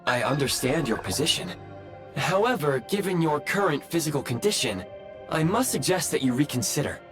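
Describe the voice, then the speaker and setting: A man's voice: fairly high pitch, animated, fairly bright tone. A man voicing an earnest, formal, newly awakened ancient robot guide in a video game, with precise, dutiful phrasing. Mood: polite, dutiful. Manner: formal, precise phrasing with halting self-corrections. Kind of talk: scripted game voice acting. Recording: background music under the voice.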